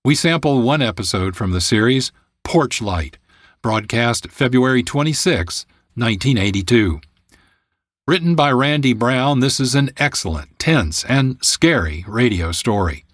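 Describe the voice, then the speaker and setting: Dry-sounding male radio host, measured delivery. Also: mid-range voice, animated, fairly bright tone, dry recording. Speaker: producer and host. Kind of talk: scripted radio presenting.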